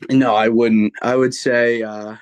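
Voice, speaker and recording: A man's voice: medium pitch, little pitch movement, slow, clear, resonant tone, no room sound. A young man co-hosting a casual sports podcast, laid-back, with dry quips. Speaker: podcast co-host.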